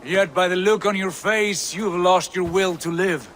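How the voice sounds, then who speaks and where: A proud, high-voiced Viking chief. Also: male voice, normal speed. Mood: calm. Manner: commanding, boastful, then calm and brotherly. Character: bold male Viking chieftain, proud brother. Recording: game audio with loud ambient noise.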